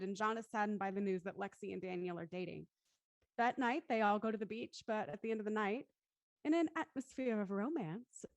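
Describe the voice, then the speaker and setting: Higher-voiced woman hosting, close dry sound. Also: no echo. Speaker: host. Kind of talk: hosting a livestreamed cast interview.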